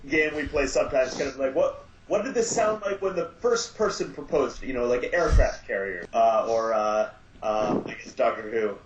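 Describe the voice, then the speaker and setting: A man's voice: medium pitch, moderate pace, lo-fi sound, slight background noise. A man chatting casually on a podcast, musing at length with many restarts and fillers. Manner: thoughtful, rambling with many restarts. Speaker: podcast guest. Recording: roomy home podcast audio.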